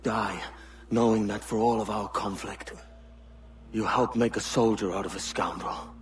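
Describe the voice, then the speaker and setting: Deep-voiced, resolute pirate captain played by a man. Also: steady tone, a little slow, slight background noise, natural voice. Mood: grim. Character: world-weary pirate captain turned assassin, earnest and resolute. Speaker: actor voicing a pirate captain. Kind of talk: cinematic video game acting.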